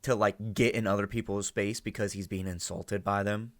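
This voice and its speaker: Low-voiced man doing casual reaction commentary. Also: fairly even intonation, moderate pace, fairly bright tone, no echo. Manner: rambling, often tags phrases with "right?". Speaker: host reacting to and analysing an audio drama.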